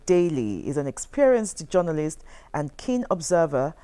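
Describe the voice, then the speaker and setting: A woman's voice: low-pitched, sing-song, moderate pace, dark tone, clear, resonant tone. A woman with a Nigerian accent, interviewing conversationally as a television host. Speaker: television talk show host.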